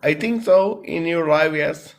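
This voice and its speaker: A Croatian man in his thirties calls into a dating show over a video call. He speaks English as a second language, in a voice a touch high for a man. He is earnest but halting, filling his pauses with "uh" and restarting his sentences. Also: creaky. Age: 30s. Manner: hesitant, many uh and restarts, earnest.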